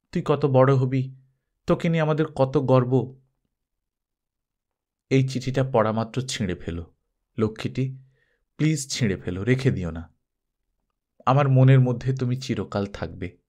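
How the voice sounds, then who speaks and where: Storybook role: male narrator as a worried, devoted mother, earnest and pleading, with lively pitch movement. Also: lightly acted. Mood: earnest reassuring. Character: anxious, devoted young mother writing a pleading farewell letter.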